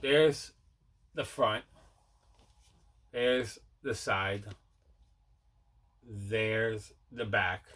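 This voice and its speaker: Man, home vlog host, casual show-and-tell, explanatory, clearly bright tone. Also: fairly low pitch, extremely slow, long pauses. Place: indoors at home.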